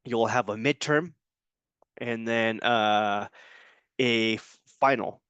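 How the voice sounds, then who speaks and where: An adjunct professor, a man, giving a loose and hesitant spoken introduction to his course, filling pauses with ums and restarting his sentences. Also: fairly low pitch, fairly bright tone, clear, resonant tone, dry recording. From United States.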